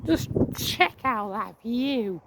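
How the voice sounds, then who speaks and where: Woman, croaky voice, high-pitched, rambling outdoor walking vlog, faint background noise. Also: animated. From England. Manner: rambling, restarts words, reads signs aloud haltingly. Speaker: vlogger. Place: outdoors on a viaduct.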